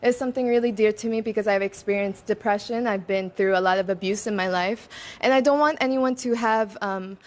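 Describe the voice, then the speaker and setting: A woman's voice: medium pitch, brisk. A woman gives chatty, earnest pageant interview answers into a stand microphone, mixing in Tagalog words. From Philippines and Macau. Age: twenties. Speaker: beauty pageant contestant. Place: open-sided veranda outdoors.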